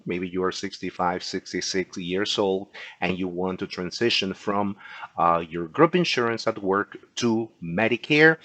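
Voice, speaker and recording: A man's voice: lowish voice, no echo. A man from Peru with a Peruvian Spanish accent, Spanish his first language, giving a chatty recorded lesson and often ending sentences with "okay?". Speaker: insurance agent teaching a class. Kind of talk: casual explanatory video lesson.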